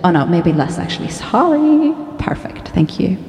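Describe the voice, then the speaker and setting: A woman's voice: fairly low pitch, very animated, normal speed, a little mellow, slightly rough, no room sound, faint music behind. A woman from Sweden chatting informally in a studio, hesitant and thinking aloud as she goes. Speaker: guest singer. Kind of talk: casual studio chat.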